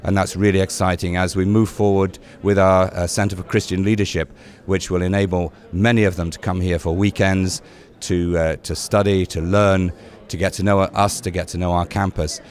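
Older man, very low voice, flat intonation, hesitant interview phrasing. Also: moderate pace. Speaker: college principal. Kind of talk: interview answer. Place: large church hall. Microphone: handheld interview microphone.

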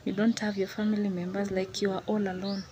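A woman's voice: medium pitch, little pitch movement, fast talker, a crowd in the background. A young Kenyan woman vlogging to a phone at arm's length outdoors in green countryside, talking earnestly and repeating herself as she pleads. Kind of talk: selfie vlog to camera. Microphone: phone held at arm's length. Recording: outdoor phone recording with clear background noise.

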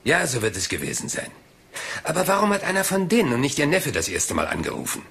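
An actor playing a polite, seemingly scatterbrained police inspector in a crime drama, hesitant, rambling and deferential. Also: male voice, medium pitch, wildly swooping pitch, fast talker, gravelly, fairly bright tone, exaggerated voice. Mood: hesitant, musing. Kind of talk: German crime drama dialogue.

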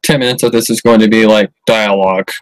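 A man's voice: fairly low pitch, steady tone, quick pace, rough recording. A man hosting a livestream and chatting casually while playing a game, giggling and reacting to the dialogue. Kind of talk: casual gameplay commentary.

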